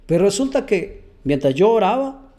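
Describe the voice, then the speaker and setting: A man's voice: high-pitched, sing-song, moderate pace, mellow. A man giving an earnest testimony and Bible teaching as a preacher, often repeating words as he searches for them.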